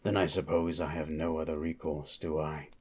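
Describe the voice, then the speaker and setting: A man playing a grim, menacing monster hunter for hire in a fantasy ASMR roleplay, speaking in a terse, deliberate, coldly questioning way. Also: level tone, noisy background. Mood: cold threat. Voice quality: husky, very low.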